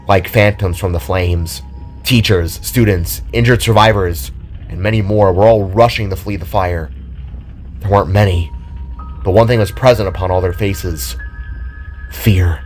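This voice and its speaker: A man narrating a scripted audio drama in a solemn, theatrical manner. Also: fairly low pitch.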